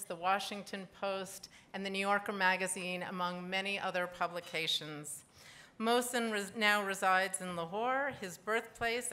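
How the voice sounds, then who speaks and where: Bright-voiced woman introducing a guest. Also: medium pitch. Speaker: event presenter introducing a guest. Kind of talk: prepared introduction speech. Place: live event room. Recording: live event with audience noise.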